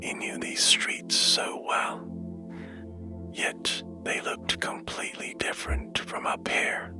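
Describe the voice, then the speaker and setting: A man narrating a bedtime story in a hushed, gentle and soothing manner, taking his time. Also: takes it slowly, whispered. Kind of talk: slow, whispered bedtime storytelling. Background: music.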